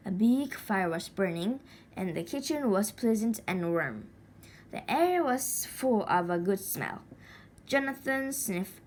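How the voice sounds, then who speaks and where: A woman reading a children's story aloud expressively, with deliberate dramatic pauses and stretched words. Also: mid-range voice, very animated, darkish tone. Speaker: storybook reader. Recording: faint background noise.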